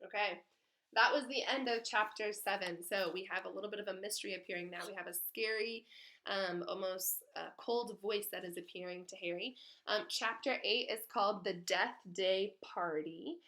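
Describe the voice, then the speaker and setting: A woman's voice: mid-range voice, normal speed, pushed and bright. A woman reading a children's storybook aloud expressively, in the way of a teacher.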